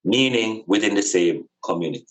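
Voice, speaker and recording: A man with a fairly low voice reasons aloud in a casual, reflective style. He is earnest and emphatic, circling back and repeating phrases to drive each point home. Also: lively intonation, brisk, poor audio. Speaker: podcast guest speaker on spirituality and community.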